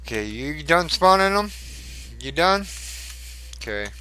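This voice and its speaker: Gaming host, male, crisp bright delivery, talks to himself mid-fight. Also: on the high side, sing-song, a little slow, slight background noise. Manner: chatty, mutters to himself while fighting. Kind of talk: casual gameplay commentary.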